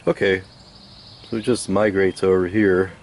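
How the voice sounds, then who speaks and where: A man giving a casual hands-on restoration demonstration, musing aloud in an unhurried, hesitant way as he works. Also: sits low, fairly even intonation. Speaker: radio restoration hobbyist.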